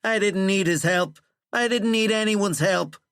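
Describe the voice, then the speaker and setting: A man's voice: fairly low pitch, level tone, fairly quick, a bit bright, clean tone, no echo, clean studio sound, natural voice. A man voicing a furious, petulant, self-important American president in an audiobook, blustering and defensive. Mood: defiant. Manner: blustering, defensive, prone to screeching. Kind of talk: audiobook character acting.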